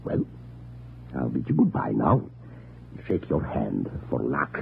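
A cold scheming usurper. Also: male voice, a bass voice, wildly swooping pitch, takes it slowly, gravelly, full character voice. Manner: cold, precise, menacing. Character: scheming male usurper Krennic, cold and calculating. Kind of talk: 1940s radio acting. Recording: tinny old radio broadcast with hiss.

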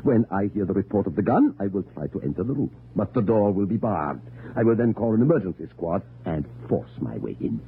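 A cold scheming usurper. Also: male voice, fairly low pitch, big pitch swings, fairly quick, slightly rough, exaggerated voice. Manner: cold, precise, menacing. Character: scheming male usurper Krennic, cold and calculating. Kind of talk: 1940s radio acting. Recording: tinny old radio broadcast with hiss.

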